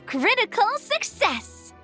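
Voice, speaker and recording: A woman's voice: very high voice, pushed and bright, full character voice. A woman voicing a bubbly, eager young woman in a game who giggles often. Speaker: game character.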